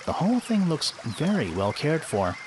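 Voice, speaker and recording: A man playing a quippy, sarcastic detective with a wry, expressive delivery in a mystery adventure game. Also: a little high, moderate pace, noisy background. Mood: curious, dry. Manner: wry, dryly joking, expressive. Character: quippy, sarcastic male detective, curious and easily baffled.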